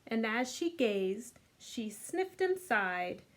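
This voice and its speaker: High-voiced woman reading slowly to children. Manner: expressive, slow, deliberate reading to young children. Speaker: library storytime presenter. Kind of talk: children's storytime read-aloud and singing.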